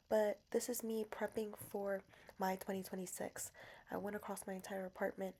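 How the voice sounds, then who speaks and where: A woman's voice: mid-range voice, mellow, a little hiss or hum. Chatty young New Jersey woman vlogging. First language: Spanish. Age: 20s. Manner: chatty, playful, emphatic repetition. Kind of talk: casual diary vlog voice-over.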